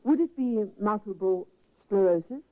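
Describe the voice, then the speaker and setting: An actress playing a composed, gracious nurse in a 1950s radio drama, smooth and reassuring. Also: female voice, medium pitch, expressive, moderate pace, lightly acted. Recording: tinny restored old radio broadcast.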